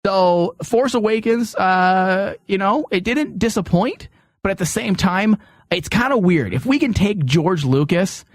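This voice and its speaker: A man's voice: high voice, lively intonation, measured pace, no room sound. A man hosting a studio podcast in a casual, chatty style with teasing banter. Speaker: podcast host.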